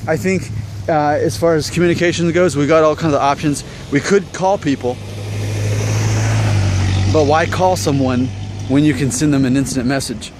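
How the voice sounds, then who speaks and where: A man's voice: a little high, background noise. A man in his thirties talking casually to viewers in an opinion vlog, conversational and self-deprecating, full of rhetorical questions. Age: 30s. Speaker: vlog host.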